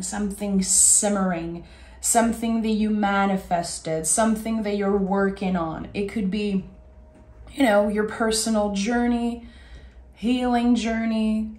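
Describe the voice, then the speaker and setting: A woman with a raspy voice giving a gentle, soothing tarot reading. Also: medium pitch, roomy sound. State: raspy voice today. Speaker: tarot reader.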